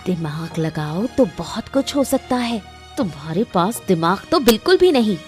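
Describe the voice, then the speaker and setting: A mellow-voiced village wife from a cartoon moral story , acted by a woman, emotional and calculating. She speaks with earnest, deliberate drama in a mid-pitched voice, over faint music. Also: big pitch swings, close to an everyday voice. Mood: scornful, pushy.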